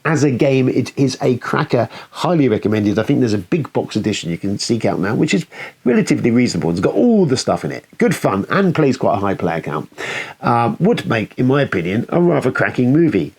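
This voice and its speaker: A British man presents a casual board game video with very animated pitch. His voice is a little raspy and creaky, and he rambles along with self-deprecating, sweary asides. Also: brisk. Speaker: board game review show host.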